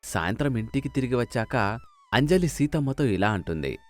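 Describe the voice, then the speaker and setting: Male narrator, medium pitch, animated intonation, scripted storytelling delivery. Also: normal speed, dry recording, soft background music.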